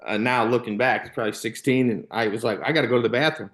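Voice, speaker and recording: An American man in his thirties with a mid-pitched voice, chatting as a podcast guest. He tells stories in a loose, rambling way, with plenty of "you know" and "like" along the way. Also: steady tone, quick pace, a bit bright. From Illinois, United States. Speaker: podcast guest, former baseball player and youth coach. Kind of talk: casual anecdotal storytelling.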